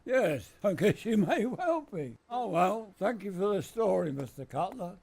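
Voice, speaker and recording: A man's voice: high-pitched, sing-song, unhurried, creaky, darkish tone, a little hiss or hum, heavily acted. A man playing a wry, flirtatious secret agent. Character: smooth adult male secret agent posing as reporter. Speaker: lead actor in amateur radio drama. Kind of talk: amateur radio play acting.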